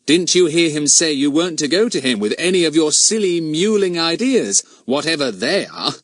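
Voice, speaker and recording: An earnest teenage schoolboy detective, as a male narrator plays him, pitched up high and lively. He is well-spoken, confident and a little cheeky, eager to persuade, in a children's storybook reading with a dry, close sound. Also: normal speed, no echo. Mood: persuasive. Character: earnest, confident teenage boy sleuth, polite but cheeky.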